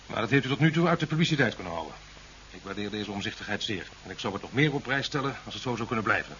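Brisk, sharp-voiced president. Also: male voice, fairly low pitch, animated. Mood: evasive, dismissive. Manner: formal, dismissive, brisk. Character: evasive, irritated president worried about elections. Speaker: actor. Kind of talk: 1970s science fiction radio play acting. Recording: dull, slightly hissy 1970s radio recording.

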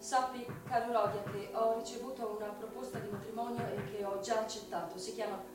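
A devoted young sister pours out a letter in a radio play, acted by a woman. She is halting and intensely emotional, repeating her words. The voice is high, distant and very echoey, with music behind it. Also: level tone, close to an everyday voice. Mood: excited, relieved. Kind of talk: theatrical radio drama monologue.

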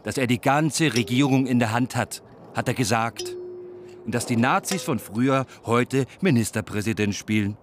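A naive, easily indignant man , played by an actor, talks as a tour visitor in a radio play. His voice sits at a middle pitch, with clear ambient noise around it. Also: normal speed, close to an everyday voice. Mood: gossipy, foreboding. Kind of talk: German radio play dialogue. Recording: noisy ambient background.

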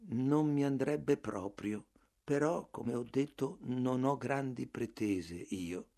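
Meek, passive clerk, read by a man: soft, slow, flat, unmoved. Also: mid-range voice. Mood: calm, stubborn. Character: meek quiet male clerk, passive and stubborn.

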